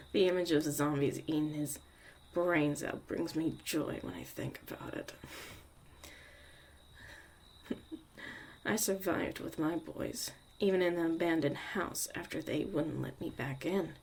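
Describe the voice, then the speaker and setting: A woman playing a young witch mother, a tough, protective and caring survivor, in an intimate, halting, confiding ASMR roleplay monologue. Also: low voice, a little mellow, slightly rough, exaggerated voice. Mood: bitter, wry.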